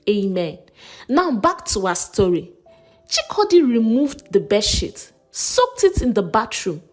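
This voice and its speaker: A woman with a Nigerian accent narrating a folktale expressively as a storyteller. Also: fairly high pitch. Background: music.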